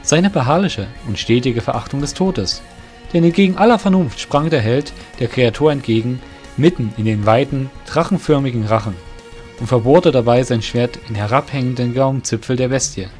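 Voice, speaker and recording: A man's voice: mid-range voice, darkish tone. A man narrating a scripted fantasy audio drama in a literary storytelling manner.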